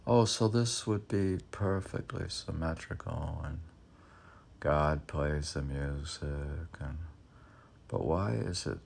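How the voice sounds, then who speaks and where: A man reads poetry aloud casually, rambling into his own commentary and musing haltingly, as if arguing with himself. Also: very deep voice, creaky, a little mellow, slight background noise. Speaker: poet reading own poems aloud.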